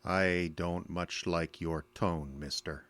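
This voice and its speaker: A belligerent, embittered male ex-soldier in an audio drama. His voice is deep and closely recorded with no room sound. He talks gruffly and colloquially, with a suspicious, sarcastic edge. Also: steady tone, slow, a bit bright, no room sound. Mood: distrustful, gruff.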